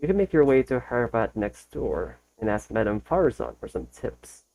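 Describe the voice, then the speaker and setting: A man with a mellow, relaxed tone and an average pitch hosts a casual reaction video. He reads text aloud and comments in an informal way. His reading is a bit halting, as he sometimes repeats a phrase to get it right. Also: low-quality recording.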